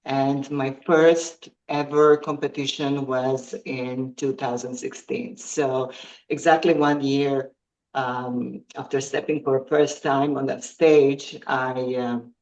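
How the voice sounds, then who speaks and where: A woman answers interview questions on a podcast. She speaks slowly and haltingly, pausing often and filling the gaps with ums and uhs as she searches for her words. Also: rumbling low, flat delivery, darkish tone, rough recording. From Croatia. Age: 50s. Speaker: guest athlete.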